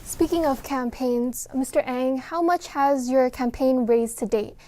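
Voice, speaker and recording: This woman moderates a candidate forum, reading her questions in a measured, formal manner. Her voice sits high. She addresses each candidate in turn. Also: steady tone, warm tone.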